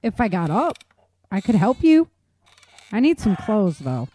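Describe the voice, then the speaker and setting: A woman's voice: medium pitch, very animated, a little slow, dark tone. A woman playing an injured, stoic and practical woman in an audio drama, with a subdued voice. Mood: weary, practical.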